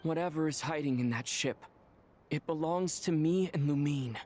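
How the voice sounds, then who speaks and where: A determined young traveler from a fantasy game, acted by a man, speaking earnestly and deliberately. His voice sits on the high side. There is light noise behind it. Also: darkish tone, close to an everyday voice. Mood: resolute. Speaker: video game hero. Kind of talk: scripted game voice acting.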